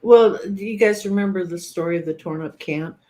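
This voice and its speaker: A man's voice: sits high, brisk, a little mellow. Man recounting things in casual talk. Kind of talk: casual conversational storytelling.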